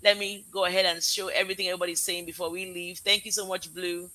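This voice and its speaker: A woman's voice: fairly low pitch, flat delivery, fast talker, sharp tone, poor audio. A woman with a Nigerian accent mixed with American tones, hosting a casual live stream and giving effusive, heartfelt, rambling thanks to her guests and audience. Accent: Nigerian with American mix. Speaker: live show host, parent.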